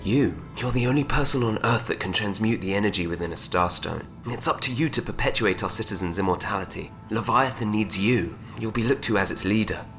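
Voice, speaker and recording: Deep-voiced, solemn male advisor. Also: a bit bright, faint music behind. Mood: solemn, persuasive. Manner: formal, persuasive, measured. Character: solemn, insistent male advisor to an immortal society's future queen. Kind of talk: audio drama acting.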